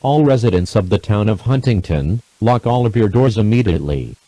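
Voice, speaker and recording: Guy reading an emergency alert, mellow dark tone, formal and measured, very dry sound. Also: fairly low pitch, expressive, no room sound, poor audio. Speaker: emergency broadcast announcer. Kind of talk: scripted emergency alert announcement.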